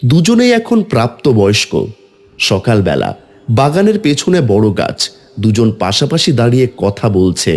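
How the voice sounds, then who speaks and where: A man's voice: mid-range voice, huge pitch swings, normal speed, a little mellow. A man delivering scripted dramatic narration as the narrator of a tale.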